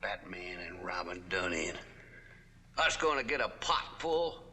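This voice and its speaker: An actor playing a swaggering, hot-tempered cowboy outlaw boss in a campy 1960s TV show, with a folksy, theatrical American cowboy drawl. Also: male voice, deep voice, sing-song, a little slow, pushed and bright, full character voice. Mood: gloating. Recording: noisy old TV soundtrack clip.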